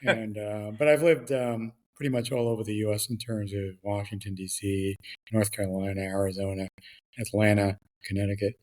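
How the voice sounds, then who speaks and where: A man's voice: lowish voice, flat delivery. A middle-aged man from the Bronx, a guest speaking over a remote call, talking casually with many fillers and restarts. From New York, the Bronx. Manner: halting, many fillers and repeated words. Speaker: guest, regulatory affairs expert. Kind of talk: casual interview chat.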